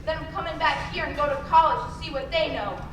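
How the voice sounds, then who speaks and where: A woman's voice: high-pitched, quick pace, close to an everyday voice. A woman playing a brash, idealistic, talkative young small-town man in an old-fashioned radio play. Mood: boyish, dreamy. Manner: eager, talkative, earnest. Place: live stage performance in a large room. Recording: echoey hall, distant mic, noisy.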